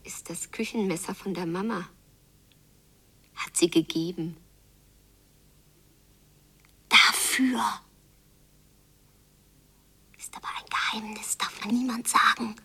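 An actress playing a wounded, secretive young daughter who longs and seethes in a radio drama, often hushed and drawing out her phrases. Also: medium pitch, normal speed, husky, heavily acted. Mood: secretive, vengeful. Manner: hushed, echoing repeated phrases, drawn out. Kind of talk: literary radio drama, whispered inner monologue.

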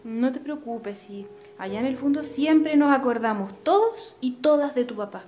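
An actress playing an earnest, idealistic young Chilean daughter of a landowner in a radio drama. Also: female voice, high-pitched, lively intonation, normal speed, darkish tone, slight background noise. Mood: friendly, settled.

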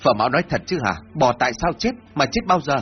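Casting: man playing a steady older village chief, coaxing and measured, lo-fi. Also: medium pitch, natural voice. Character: calm older village headman, mediating. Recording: dull lo-fi with faint music.

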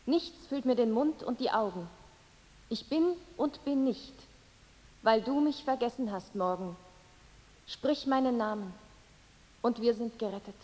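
An actress playing a devoted lover in a radio play, delivering a solemn, incantatory poetic monologue. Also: female voice, on the high side, measured pace, pauses often, warm tone, lightly acted. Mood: solemn, yearning. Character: solemn, devoted woman lover chanting into nothingness. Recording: long cavernous reverb.

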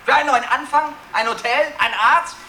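A man's voice: extremely high pitch, moderate pace, pushed and bright, heavily acted. An actor in a radio drama playing a desperate male drifter, theatrical, sarcastic and erratic. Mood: nervous, pleading. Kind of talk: German radio drama acting. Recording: distant, faint background noise.

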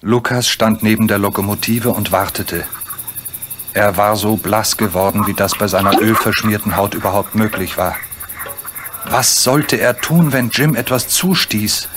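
A man's voice: on the low side, a little slow, a bit bright, soft background music. A man narrating a children's radio play in German as a scripted storyteller. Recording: faintly noisy, cricket chirps behind.

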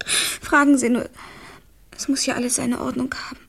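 An actress playing a newly widowed, distressed but composed younger wife in a German radio crime drama, halting and restrained. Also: female voice, high-pitched, little pitch movement, gravelly, husky, heavily acted. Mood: resigned.

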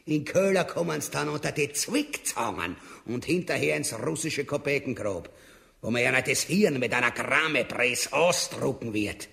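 A man's voice: mid-range voice, expressive, normal speed, creaky, sharp tone. An actor with an Austrian accent playing a crude, greedy, bullying tyrant king in a grotesque satirical radio drama. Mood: pompous, menacing. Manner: blustering, foul-mouthed.

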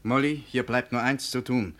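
An actor in a 1950s German radio play playing a brusque, bullying restaurateur and fiancé, brisk and dismissive. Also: male voice, mid-range voice, fairly even intonation, fairly bright tone, lightly acted. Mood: smooth, impatient.

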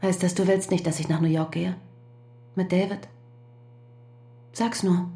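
An actress playing a prisoner's strained, devoted wife in a radio drama, talking in a pleading, affectionate way. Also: female voice, on the low side, fairly even intonation, a little slow, warm tone. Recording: roomy, echoey and set back from the mic.

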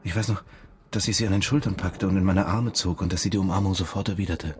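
A brooding male diving instructor, played by an actor, with a low voice. He is conflicted and deliberate in an intimate radio drama, with faint noise and ambience behind him. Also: fairly even intonation, close to an everyday voice. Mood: brooding, longing. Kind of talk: intimate first-person radio drama narration and dialogue.

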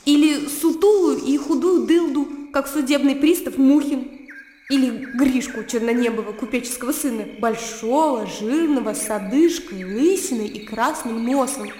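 An actress playing a lively, blunt and willful young provincial woman in a Russian radio play, frank and teasing in manner. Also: high voice, moderate pace, slight background noise, lightly acted. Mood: scornful. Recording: echoey reverberant sound, crickets and outdoor ambience.

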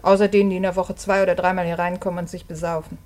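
An actress playing a lonely, candid young daughter in a German radio drama, plain and earnest with quiet defiance. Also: female voice, on the low side, little pitch movement, rapid-fire, lightly acted. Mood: bitter, earnest. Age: young adult.

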